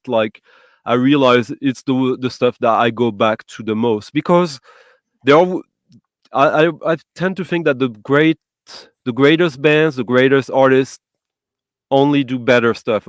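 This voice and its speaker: A man's voice: medium pitch, no room sound, studio quality. A man hosting a casual music chat, enthusiastic and searching for his words, with English that is not his first language. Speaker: host.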